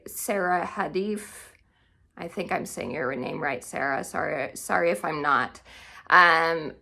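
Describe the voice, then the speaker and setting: A woman's voice: on the low side, creaky, sharp tone. A woman hosting a casual book vlog, talking informally and chattily, often correcting herself as she goes. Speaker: book content creator and host.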